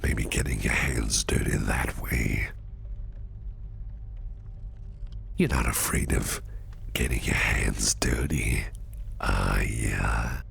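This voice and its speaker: A man playing a shady businessman boss in an ASMR roleplay, flirtatious and protective, murmuring in a whispery gravelly voice with slow, teasing deliberation. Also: extremely low pitch, steady tone, fairly bright tone, a little hiss or hum, full character voice. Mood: sly, enticing. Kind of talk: ASMR roleplay, close personal attention.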